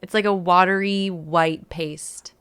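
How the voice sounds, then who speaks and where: A woman's voice: on the low side, steady tone, slow, a bit bright, clear, resonant tone. A young adult woman chatting casually in a group as one of the readers on a stream.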